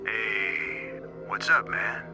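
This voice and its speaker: A man's voice: lowish voice, animated, extremely slow, over music. A man acting a rugged, brash space marshal in a video game, with an American accent and a folksy, blunt drawl. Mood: easygoing. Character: rugged young male frontier space marshal, brash and loyal.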